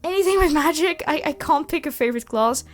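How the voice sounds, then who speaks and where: A woman with an enthusiastic, expressive way of talking, casually answering questions as the host of her own channel. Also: sits high, fast talker. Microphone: close USB microphone. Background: background music.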